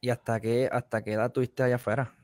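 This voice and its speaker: A man from Puerto Rico hosting an interview podcast from his music studio, relaxed and slangy. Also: mid-range voice, fast, no room sound. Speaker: podcast host. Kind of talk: casual interview chat. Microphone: studio mic with headphones.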